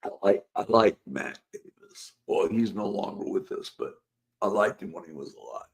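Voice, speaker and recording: A man's voice: fairly low pitch, expressive, normal speed, gravelly. A man hosting a casual live chat show, losing his voice, rambling and reading out viewer comments. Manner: rambling, chatty, reads viewer comments aloud. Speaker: host.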